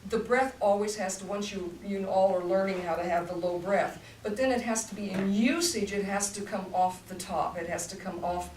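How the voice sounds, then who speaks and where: A woman's voice: mid-range voice, moderate pace, few pauses, darkish tone. An older woman, a voice teacher, explaining technique in a demonstrative coaching way, heard from a distance in a room. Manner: demonstrative, coaching, talks in bursts. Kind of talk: voice masterclass talk. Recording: roomy, distant, faint hum.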